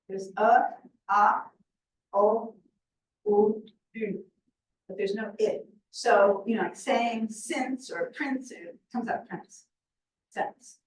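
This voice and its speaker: An older woman answering questions on a video call in a chatty way, laughing often and trailing off softly. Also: medium pitch. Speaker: presenter. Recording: echoey room from far off, faint noise.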